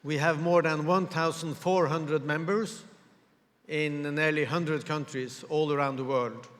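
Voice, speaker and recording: A man from Norway gives a formal conference presentation in English, measured and pausing often to find his words. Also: a little high, fairly bright tone. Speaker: conference speaker. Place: large conference hall. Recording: reverberant hall with long echo.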